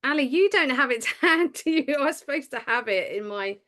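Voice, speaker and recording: A woman's voice: sits high, expressive, fairly quick. Hesitant woman hosting a meeting. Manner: warm, hesitant, many restarts. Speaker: meeting host.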